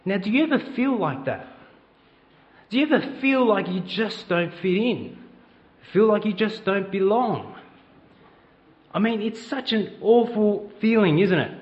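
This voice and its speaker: A man's voice: high voice, expressive, unhurried, pauses often, highs cut off. A middle-aged man with an Australian accent, preaching a conversational sermon in church and asking the congregation questions. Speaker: pastor.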